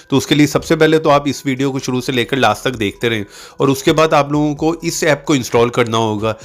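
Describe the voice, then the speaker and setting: A man hosting a tutorial channel, narrating step-by-step instructions on how to use an app. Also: mid-range voice.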